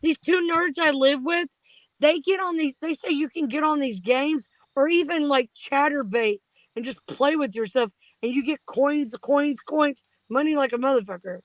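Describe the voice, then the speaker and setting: A woman chatting crudely and excitably on a casual panel. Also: sits high, moderate pace, no room sound, low-quality recording.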